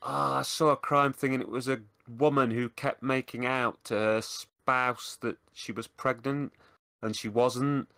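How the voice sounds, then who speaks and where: A man's voice: medium pitch, fairly even intonation, measured pace, gravelly, a bit bright, no echo. A man co-hosting a podcast, talking casually in a dry, matter-of-fact way. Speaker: podcast co-host. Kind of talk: casual chat.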